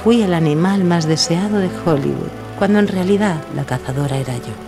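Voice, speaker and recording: A woman's voice: lowish voice, fairly quick, close to an everyday voice. Woman playing a poised, proud film diva over music. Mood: reminiscing, proud. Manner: poised, confident, seductive storytelling. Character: self-assured glamorous 1940s film star woman, witty and proud. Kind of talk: scripted audio drama acting and narration.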